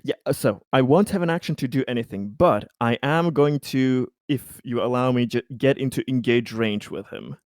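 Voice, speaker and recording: A man's voice: mid-range voice, normal speed, hardly any pauses, a little mellow, no room sound, clean studio sound. A man playing a tabletop roleplaying game, talking casually through his moves.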